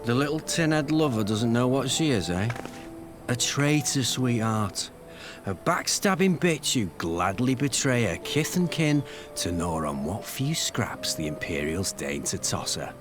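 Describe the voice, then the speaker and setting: A man's voice: mid-range voice, sing-song, a little slow, over music. An actor playing a hateful townsman in a video game, sneering, taunting and full of contempt. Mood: contemptuous, taunting. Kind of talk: dramatic video game voice acting.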